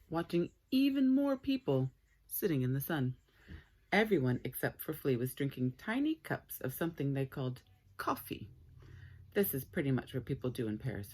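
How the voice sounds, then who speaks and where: A woman reading a story aloud for children in an expressive, playful way with dramatic pauses. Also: a bass voice, husky.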